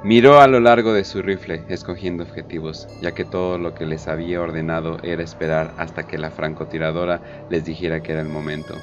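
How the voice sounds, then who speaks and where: Deep male story narrator over music. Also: fairly even intonation, brisk, few pauses, highs cut off. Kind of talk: scripted dramatic story narration. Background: prominent background music.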